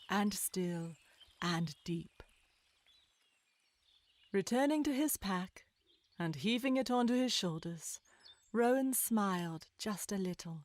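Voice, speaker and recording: A woman's voice: mid-range voice, slight background noise, lightly acted. A woman acting as narrator, reading scripted storybook narration in a children's audio drama.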